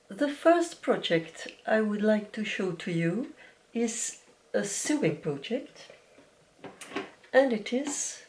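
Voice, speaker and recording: A woman from Belgium speaks English with a Flemish Dutch accent, her first language being Dutch. She talks slowly and plainly in a mid-range voice, hosting a casual craft podcast. She sometimes pauses to hunt for the right English word. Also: medium pitch, darkish tone, slight background noise. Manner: careful, searching for English words. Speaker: podcast host, piano teacher.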